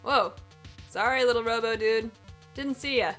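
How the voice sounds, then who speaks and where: A woman's voice: fairly high pitch, moderate pace, a bit bright, faint music behind, lightly acted. A woman playing a cocky, breezy skateboarding cartoon character in a scripted comedy sketch. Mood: breezy, teasing.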